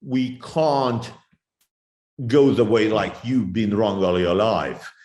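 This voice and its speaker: A man's voice: fairly low pitch, takes it slowly, darkish tone. A man with a Lithuanian accent giving spontaneous answers in an interview as an activist. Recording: echoey room.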